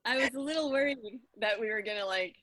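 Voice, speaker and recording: A woman's voice: fairly high pitch, fast, a bit bright. A woman talking casually on a video call, rambling informally and repeating words as she works out ideas. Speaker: voice actor in a serialized audio drama.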